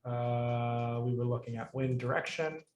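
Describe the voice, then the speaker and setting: A man explaining code on a video call, his middle-pitched voice pausing and restarting as he works things out. The sound is roomy, with a clear echo around him. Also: steady tone, darkish tone, highs cut off, a little hiss or hum. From Puerto Rico. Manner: thinks aloud, frequent restarts and fillers. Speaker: instructor. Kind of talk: live coding lesson review.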